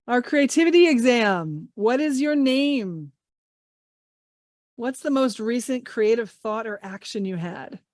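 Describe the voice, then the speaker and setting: A woman's voice: a little high, lively intonation, a little slow, a little mellow, no echo, studio quality. A woman trainer leading an online workshop, upbeat and encouraging, asking the group many questions. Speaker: English language trainer. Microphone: video call mic.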